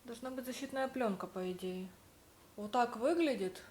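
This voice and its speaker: A young woman chats through her day as a vlogger, her voice sitting a little high. She tells stories and acts out the dialogue she quotes. A faint hum of noise runs underneath. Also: normal speed, darkish tone. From Russian-speaking, living in Spain. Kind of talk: casual vlog narration.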